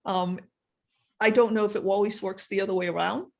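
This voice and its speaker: A woman's voice: mid-range voice, fairly even intonation, fairly quick, gravelly, darkish tone. Hesitant woman chairing a meeting. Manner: hesitant, repeats words while thinking. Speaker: committee chair.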